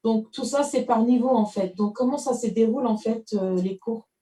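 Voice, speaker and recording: A woman host chats with her guest in a relaxed, hesitant way, with plenty of fillers. Her voice is fairly high but mellow in tone, and it sounds roomy and echoey because it is picked up from a distance. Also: moderate pace. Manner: chatty, hesitant, many fillers. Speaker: TV show host. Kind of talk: casual interview conversation.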